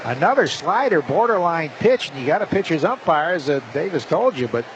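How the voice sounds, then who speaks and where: Higher-voiced man calling baseball play-by-play. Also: sing-song, a little fast, highs cut off. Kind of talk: live sports commentary. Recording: clear outdoor ballpark noise. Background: outdoor stadium ambience.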